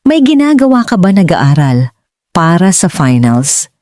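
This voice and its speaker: A woman with a Filipino accent voicing an earnest middle-aged businessman father in an audiobook, speaking haltingly to his son. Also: lowish voice, very animated, mellow, no room sound, clean studio sound. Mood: tentative, nostalgic. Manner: halting, searching for words. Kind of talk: audiobook dialogue.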